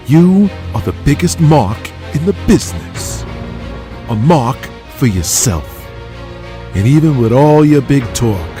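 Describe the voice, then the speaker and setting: Man playing a forceful older scheming rival. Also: medium pitch. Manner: forceful, defiant. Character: tall, hot-tempered older male scheming rival. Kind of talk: audio drama acting.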